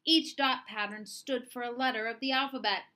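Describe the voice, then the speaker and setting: A woman reading a children's story aloud in an expressive narrating style. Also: on the high side, moderate pace. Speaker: storybook reader.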